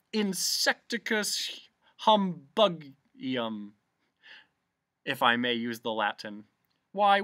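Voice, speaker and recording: Casting: pompous old storybook humbug bug, acted by a narrator, boastful, forceful, pitched up and wildly animated. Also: no room sound. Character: blustering pompous old humbug bug, self-important fraud.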